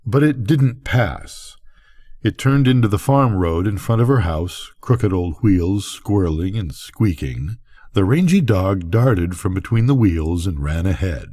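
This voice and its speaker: An older man reading a bedtime story aloud as narrator, with expressive, deliberate phrasing. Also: deep voice, slightly rough.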